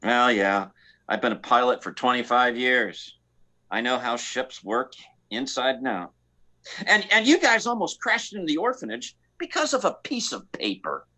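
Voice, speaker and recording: A man playing a cocky, free-spirited space pilot in a comic radio play, rambling and repeating himself in halting bursts. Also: medium pitch, sing-song, bright, forceful tone. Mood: boastful, rambling.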